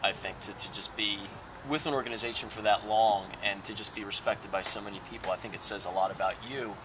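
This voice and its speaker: A man's voice: on the low side, steady tone, fast, noisy background. An American man conducting a casual on-location interview as a sports interviewer.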